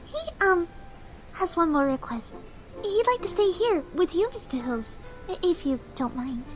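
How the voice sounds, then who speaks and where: A woman voicing a shy, gentle cartoon pony mare who speaks for animals, soft, polite and hesitant. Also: very high voice, sing-song, measured pace, over music, heavily acted. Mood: timid, kind. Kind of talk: cartoon fan audio drama acting.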